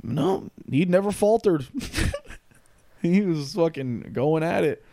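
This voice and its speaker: Late-twenties male co-host, easygoing and profane, laughs through his stories, voice a touch high. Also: lively intonation, takes it slowly, creaky, mellow. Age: late 20s. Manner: rambling, laughing, heavy swearing. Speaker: podcast co-host. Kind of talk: casual chat.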